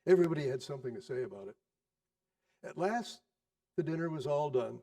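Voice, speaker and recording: A man narrating a classic story in a theatrical style, with dramatic, deliberate phrasing and varied emphasis. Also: a little high, expressive, warm tone, far from the mic.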